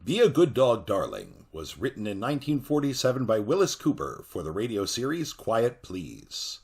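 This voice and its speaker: A man's voice: mid-range voice, huge pitch swings, normal speed, darkish tone, slight background noise. A man announcing in a scripted retro radio style, with a theatrical and ominous delivery. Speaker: podcast announcer.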